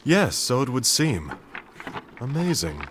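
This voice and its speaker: An actor voicing a calm, formal young man in a game cutscene, measured and composed. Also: medium pitch, slight background noise. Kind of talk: video game cutscene dubbing.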